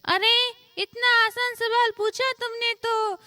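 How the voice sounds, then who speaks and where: A woman voicing an earnest female fox in a cartoon fable, with a squeaky, childlike voice. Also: steady tone, takes it slowly, bright, forceful tone, no room sound, heavily acted. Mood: earnest, eager. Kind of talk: animated moral story acting.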